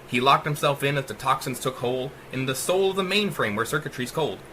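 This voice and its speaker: Theatrical, animated male storyteller. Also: medium pitch, a little fast, slight background noise. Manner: theatrical, emphatic. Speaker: author reading own short story. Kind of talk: dramatic rhyming audiobook narration.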